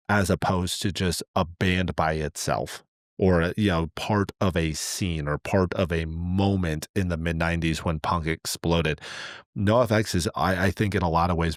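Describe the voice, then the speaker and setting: Man in his forties, low voice, dry close sound, casual reflective podcast talk, rambling delivery. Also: dry recording. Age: 40s. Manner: rambling, frequent "like" and "you know". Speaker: podcast co-host.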